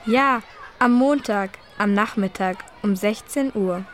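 A woman playing a schoolgirl in a scripted textbook dialogue, speaking slowly and clearly and complaining about her week. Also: medium pitch, slight background noise, lightly acted. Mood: grumbling. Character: teen girl fed up with her timetable. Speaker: student in a listening dialogue.

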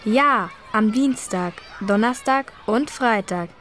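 Textbook audio: a fed-up schoolgirl, slow and clear. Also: a little high, a bit bright, slight background noise. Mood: grumbling. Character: teen girl fed up with her timetable. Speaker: student in a listening dialogue. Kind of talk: scripted textbook dialogue.

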